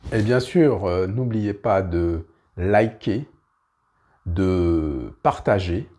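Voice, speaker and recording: A man's voice: sits low, animated, very slow, mellow. A man hosting a casual monologue to his viewers, emphatic and repeating his phrases with many fillers. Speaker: host.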